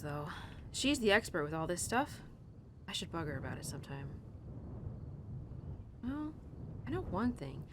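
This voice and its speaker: A woman playing an upset young cowgirl talking tenderly and haltingly to her horse in an intimate audio roleplay. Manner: halting, casual, sighing, talking softly to an animal. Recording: clear background noise with low rumble.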